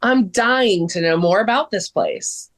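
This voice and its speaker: A woman's voice: medium pitch, unhurried, close to an everyday voice. A woman playing a bubbly, slightly vain young woman with a gushing, dramatic manner in a table read. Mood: eager curiosity.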